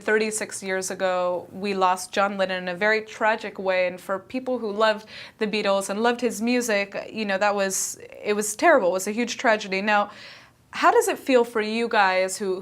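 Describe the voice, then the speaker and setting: Upbeat female TV interviewer, average pitch. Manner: bright, upbeat questions. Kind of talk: TV interview hosting.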